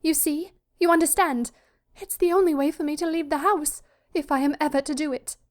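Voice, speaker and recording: Woman voicing a timid, high-pitched young daughter, anxious. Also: fairly even intonation, close to an everyday voice. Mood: urgent, desperate. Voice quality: breathy, gasping. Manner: hurried, anxious. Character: frightened, pleading young daughter, pink-cheeked and timid.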